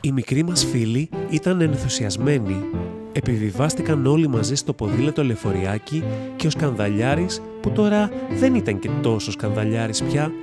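A man's voice: mid-range voice, lively intonation. A man narrating a children's fairy tale as a scripted storyteller. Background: music.

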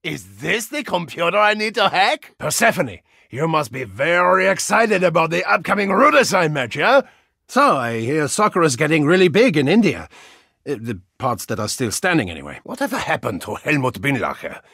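Bombastic German hooligan, wildly animated. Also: male voice, fairly high pitch, normal speed, gravelly, breathy edge, no room sound, studio quality, exaggerated voice. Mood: blustering, chatty. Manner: bombastic, comically theatrical. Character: boisterous German soccer-hooligan brawler with a soft side. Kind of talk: animated video game character lines.

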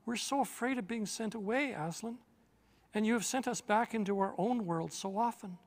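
An older man voicing an eager young English girl in a read-aloud storybook, calling out in a high, breathless way. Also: moderate pace. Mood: anxious.

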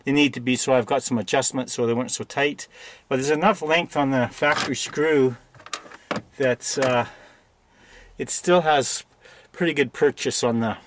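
A man with a Canadian accent giving a casual, unscripted hands-on tutorial in a plainspoken, halting way. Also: medium pitch, slight background noise. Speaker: DIY video presenter.

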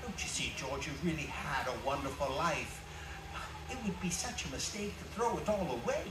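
A man's voice: a little high, animated, normal speed, music in the background, lightly acted. Male actor as earnest, fussy angel, swooping intonation, echoey distant stage pickup. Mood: solemn. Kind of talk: live stage radio-play acting. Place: small indoor theatre stage. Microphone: far camera from back of audience. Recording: distant, echoey, noisy theatre audience recording.

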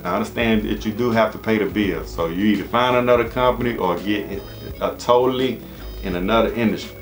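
Deep-voiced American man, casual and rambling. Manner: rambling, repetitive, informal. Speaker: HVAC business coach and channel host. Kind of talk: casual advice monologue to camera. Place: small indoor office.

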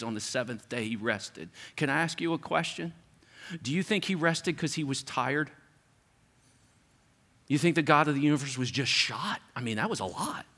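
A middle-aged pastor preaching a sermon, conversational and building to repeated, emphatic lines. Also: male voice, mid-range voice, bright, forceful tone. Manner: preaching with rising intensity and repetition, conversational asides.

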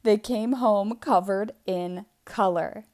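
A woman reading a children's picture book aloud in an expressive, theatrical way, stretching out key words for emphasis. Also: medium pitch, slow. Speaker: children's storybook reader.

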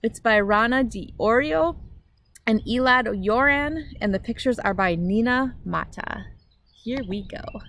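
Middle-aged American woman, slightly high voice, children's story reader addressing kids directly with expressive delivery. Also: measured pace, a little hiss or hum. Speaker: host of children's read-aloud videos. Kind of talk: children's picture-book read-aloud with friendly direct address.

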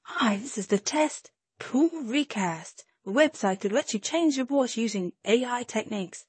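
A breathy woman's voice, slightly high, reads a demo script through an AI voice changer. The sound is dry and close. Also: animated, a little slow, no echo.

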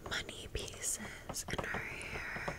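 A woman whispers in a breathy, unvoiced ASMR style at an unhurried pace. She chats casually and slangily, gushing over details and repeating short phrases for effect. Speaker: ASMR creator presenting a doll haul.

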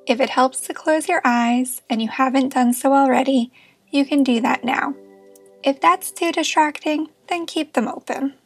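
A woman leading a guided meditation as a therapist, speaking in a gentle, reassuring and encouraging manner. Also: sits high, moderate pace, soft background music.

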